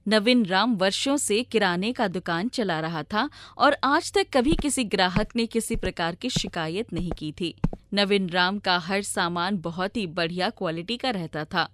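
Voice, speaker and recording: A woman's voice: mid-range voice, fairly bright tone, slight background noise. A woman reading scripted storybook narration as the storyteller.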